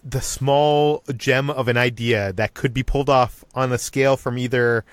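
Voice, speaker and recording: A man's voice: mid-range voice, steady tone, normal speed, no room sound. A man hosting a casual film podcast, talking informally and restarting sentences as he explains his ideas. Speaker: podcast host.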